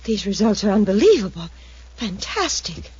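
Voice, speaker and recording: A nervous, husky-voiced lab assistant. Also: female voice, mid-range voice, sing-song, normal speed, a little mellow, dry recording. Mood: uneasy, awed. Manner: precise, anxious, earnest. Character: nervous, expert female research assistant in over her head. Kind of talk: 1950s radio adventure acting. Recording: muffled 1950s radio recording.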